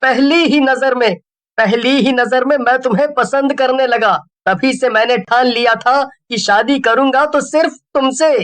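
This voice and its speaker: A woman voicing a deceitful young husband in a cartoon story, with an earnest, deliberate manner. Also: high-pitched. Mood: pleading ardent.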